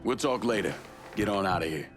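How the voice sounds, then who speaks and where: A man's voice: fairly low pitch, animated, brisk, music in the background. A man playing a hard, commanding young war-veteran mobster in a video game, with a blunt, terse delivery. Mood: cold, dismissive.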